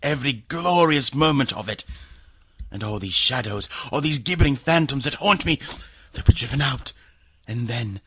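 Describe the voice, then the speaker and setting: A sickly, high-strung nobleman in a radio play. His voice is sharp and rough-edged, and he speaks in a theatrical, overwrought way, as if his nerves were frayed. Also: lively intonation, normal speed. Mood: feverish elation. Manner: theatrical, overwrought, swings from rapture to gloom. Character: ailing, nervous, morbidly sensitive aristocrat, given to frenzy. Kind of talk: radio drama acting.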